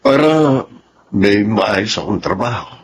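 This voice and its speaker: An actor playing a troubled, remorseful Filipino soldier in a radio drama, husky and heavy-hearted. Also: male voice, on the low side, animated, slow pace, heavily acted.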